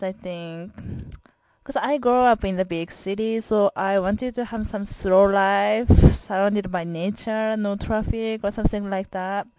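A woman's voice: mid-range voice, darkish tone, no room sound, low-quality recording. Earnest young woman with a Japanese accent. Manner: careful, earnest, with simple phrasing and small grammar slips. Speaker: college student. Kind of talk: self-introduction recording for class.

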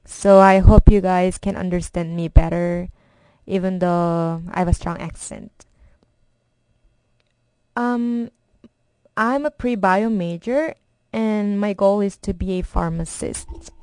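Young Korean-accented woman, mellow and clear. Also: on the low side, fairly even intonation, takes it slowly, long pauses, no room sound. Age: young adult. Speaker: college student. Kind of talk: read personal introduction.

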